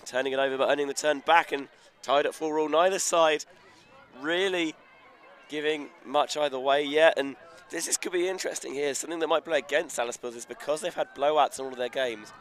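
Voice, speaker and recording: A man's voice: a little high, fairly bright tone. A man giving live play-by-play commentary on a frisbee match, following the action in flowing present-tense calls that rise with the play. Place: outdoors beside a sports field. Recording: outdoor crowd and traffic noise. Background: crowd and outdoor ambience.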